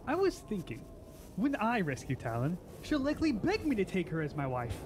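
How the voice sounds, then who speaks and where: An actor playing a proud, stubborn warrior in a fantasy radio drama, speaking in a brusque, challenging way. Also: male voice, sits high, wildly swooping pitch, brisk, background noise.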